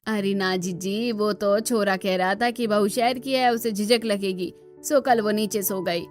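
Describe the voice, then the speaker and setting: A woman's voice: mid-range voice, steady tone, measured pace, lightly acted. Cartoon village matriarch played by a woman: old, earthy, bossy, rustic speech, music underneath. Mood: resigned. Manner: rustic dialect, scolding.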